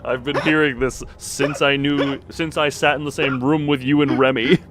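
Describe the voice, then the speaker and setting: A man's voice: mid-range voice, level tone, moderate pace, noisy background. A man bantering casually as a stream co-host, joking and feeling his way through explanations. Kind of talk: casual livestream chat.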